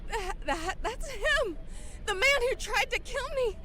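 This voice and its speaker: A woman's voice: extremely high pitch, lively intonation, unhurried, very noisy. An actress playing a haunted female survivor of a killer's attack, narrating an audio drama with solemn intensity. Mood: tearful terror. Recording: music behind.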